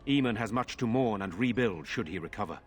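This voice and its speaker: An actor playing a grave, measured nobleman in a fantasy game, speaking formally with a husky voice. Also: on the low side, expressive, natural voice. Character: grave, measured nobleman ruling in his brother's stead.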